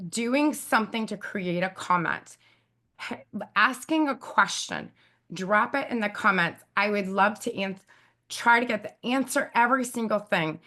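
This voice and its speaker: Raspy, squeaky-voiced woman giving advice. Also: sharp tone. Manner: chatty, lots of "like" fillers, emphatic repetition. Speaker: guest YouTube expert. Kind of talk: casual interview advice.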